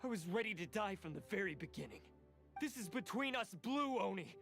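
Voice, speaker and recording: A man's voice: sits high, big pitch swings, brisk, vocal fry, a bit bright, soft background music, exaggerated voice. An actor playing a proud, guilt-ridden young male oni in a video game, speaking with solemn, dramatic intensity. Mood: resolute.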